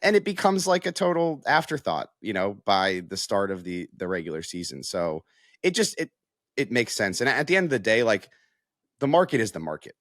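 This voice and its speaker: A man's voice: on the low side, very animated, fairly quick, fairly bright tone, dry recording, studio quality. An American man giving casual, conversational sports analysis as a reporter, with frequent filler phrases like "you know".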